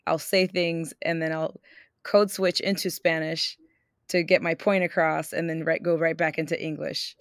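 A woman's voice: low voice, little pitch movement, normal speed, no room sound, studio quality. A woman from Puerto Rico co-hosting a podcast, chatting in an easygoing, agreeable way. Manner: easygoing, agreeable, many fillers. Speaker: podcast co-host. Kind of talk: casual podcast conversation.

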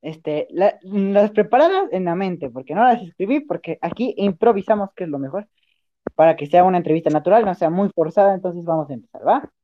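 A woman's voice: on the low side, expressive, fairly quick, lo-fi sound. A woman with a Mexican accent doing a casual, improvised interview in slangy, informal language.

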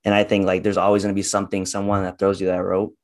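A young man, nineteen and a guest on a podcast, with a voice on the lower side. He talks in a loose, halting way, full of "like" and "uh". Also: monotone, darkish tone. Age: young adult. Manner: halting, lots of "like" and "uh". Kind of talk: casual podcast chat.

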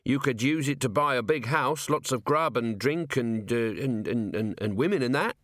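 A man's voice: medium pitch, animated, normal speed, no echo, clean studio sound, natural voice. Man doing unsure pub guy, stalls and repeats himself. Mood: uncertain. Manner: hesitant, stumbling over words. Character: uncertain male pub patron.

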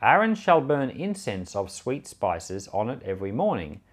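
Man reading scripture with lively intonation. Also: medium pitch, moderate pace, breathy edge. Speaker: Bible reader and podcast host.

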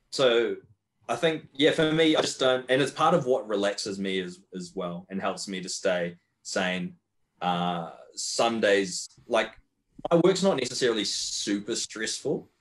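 A young man with an Australian accent, talking candidly and at length as a podcast guest, swearing freely and rambling through his points. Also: low voice. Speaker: guest powerlifter. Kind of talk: casual podcast chat.

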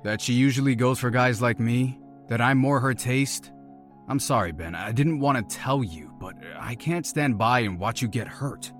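An actor playing a pushy, needling best buddy of about thirty in an audio drama, brash and blunt in manner. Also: male voice, medium pitch, normal speed, faint music behind, lightly acted. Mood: persuasive, feigned concern. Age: around thirty.

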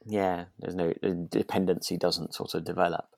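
Man, deep voice, dry sound, casual podcast chat, tentative phrasing. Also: flat delivery, moderate pace, darkish tone, dry recording. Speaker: podcast co-host. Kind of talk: relaxed conversational discussion of a radio drama.

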